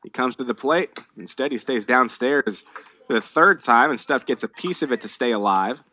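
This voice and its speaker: An American man calling live baseball play-by-play, conversational between pitches and more animated on the action. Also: normal speed. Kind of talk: live sports commentary.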